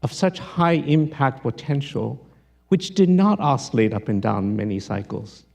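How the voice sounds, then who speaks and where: A man's voice: mid-range voice, animated, a little slow, vocal fry, a little mellow, dull audio. A man putting spontaneous questions to a speaker in a panel discussion.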